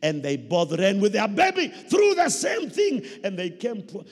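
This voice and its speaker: Husky Kenyan preacher man in a reverberant hall. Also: sits high, huge pitch swings, unhurried. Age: middle-aged. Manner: emphatic, repeats phrases, prompts listeners with questions. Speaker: pastor preaching. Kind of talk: sermon with call-and-response questions. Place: large church hall, indoors. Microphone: handheld microphone.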